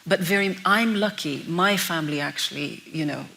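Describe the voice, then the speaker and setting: A woman's voice: on the low side, moderate pace, creaky, sharp tone. A young woman from Norway, a documentary filmmaker, answering interview questions in an earnest, reflective way with frequent restarts. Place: event venue. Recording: faint hiss.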